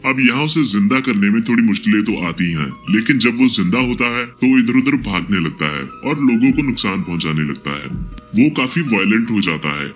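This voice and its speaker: A man narrating a scripted film explanation in a steady storytelling manner. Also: on the low side. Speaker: movie recap narrator. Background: background music.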